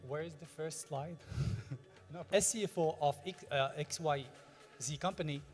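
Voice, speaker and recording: French-accented man pitching in a hall. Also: faint music behind. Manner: halting, searching for words. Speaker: fintech founder. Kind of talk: stage pitch speech.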